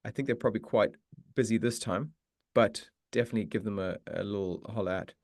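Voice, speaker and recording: A man's voice: on the low side, fast, no room sound, clean studio sound. A man hosting a live Q and A for meditation teachers, chatty and encouraging, often restarting his sentences. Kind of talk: casual live-stream chat answering questions.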